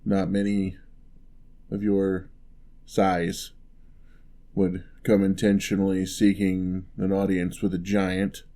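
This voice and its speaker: A man playing a huge, gentle, courteous giant in an ASMR roleplay, speaking softly with wry, teasing, old-fashioned politeness. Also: low voice, monotone. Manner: unhurried, soft-spoken, old-fashioned courteous phrasing.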